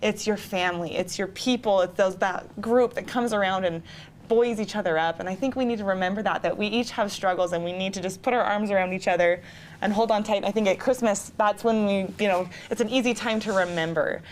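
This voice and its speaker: A woman's voice: medium pitch, fairly quick. Earnest woman with background noise. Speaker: community theater actor and interview guest. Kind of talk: conversational interview answers.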